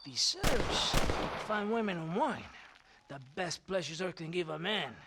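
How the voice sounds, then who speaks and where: A pompous, lecherous Mexican officer from a Western game. His accented voice is high for a man and swings widely, oily and theatrical, over loud noise. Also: very animated, measured pace, heavily acted. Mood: jovial, evasive. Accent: Mexican Spanish. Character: sly, pompous, lecherous Mexican army captain. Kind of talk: video game cutscene dialogue.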